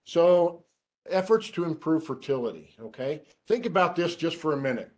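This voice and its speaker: A middle-aged man giving a seminar lecture to students, who calls his own voice monotone and drops dry joking asides while he asks the room questions. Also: fairly high pitch, normal speed. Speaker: research scientist giving a seminar.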